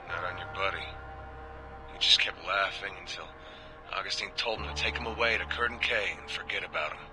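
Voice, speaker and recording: An actor playing a world-weary government agent, confiding troubled reports in measured video game audio logs. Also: male voice, deep voice, lightly acted.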